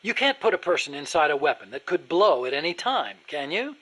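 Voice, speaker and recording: A man's voice: on the high side, sing-song, brisk, a bit bright. A man playing an earnest, nerdy American weapons engineer in a video game, eager to explain things in precise detail. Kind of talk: video game dialogue acting.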